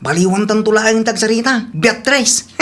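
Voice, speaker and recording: A man's voice: high voice, moderate pace, a bit bright, a little hiss or hum. An actor playing a shifty, cheerful village man in a radio comedy drama, talking evasively with nervous laughter. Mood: breezy, evasive.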